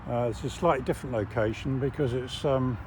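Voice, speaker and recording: An older English man explaining how an electric car works from the driver's seat, measured and a little wry. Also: medium pitch, background noise. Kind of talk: casual vlog commentary. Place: parked car.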